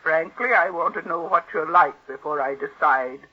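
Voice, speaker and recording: Raspy old rich aunt, low for a lady, dry n bossy, old radio play, muffled sound. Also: moderate pace. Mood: imperious. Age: older. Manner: dry, imperious. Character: shrewd, suspicious, wealthy old aunt. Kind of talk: 1940s radio acting. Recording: muffled vintage radio drama recording.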